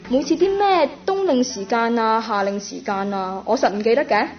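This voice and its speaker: A woman with a Hong Kong Cantonese accent playing a chatty young female university student in a radio drama, casual and expressive. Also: on the high side, normal speed, lightly acted. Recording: dull lo-fi audio with music.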